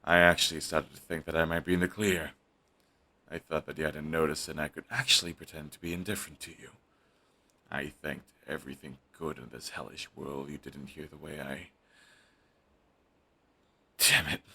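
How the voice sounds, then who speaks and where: A man's voice: rumbling low, fairly even intonation, normal speed, long pauses, bright, forceful tone, heavily acted. A young man playing a bitter, fiercely devoted male villain in an audio roleplay, talking in an intense, raw way and swearing often. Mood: furious.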